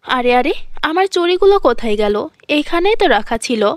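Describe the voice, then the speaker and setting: A woman's voice: high voice, sing-song, a little fast, no echo, studio quality. A woman voicing a kind, caring aunt in a cartoon fairy tale, speaking earnestly. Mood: worried. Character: kind, caring aunt, gentle wronged wife.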